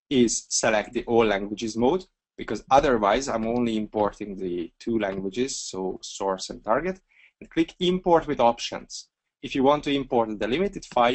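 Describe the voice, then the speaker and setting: A Hungarian man walking through a software feature step by step in a webinar screencast, relaxed and talking through each click with a few fillers. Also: medium pitch, normal speed. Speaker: software trainer.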